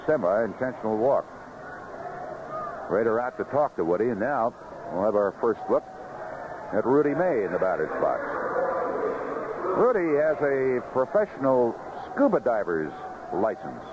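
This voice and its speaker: An American man calls a ballgame live in a highly animated, swooping delivery. His voice sits at a medium pitch and comes through a muffled old radio broadcast with clear noise behind it. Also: measured pace, long pauses. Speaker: baseball play-by-play announcer. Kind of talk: live radio play-by-play commentary. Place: ballpark broadcast booth.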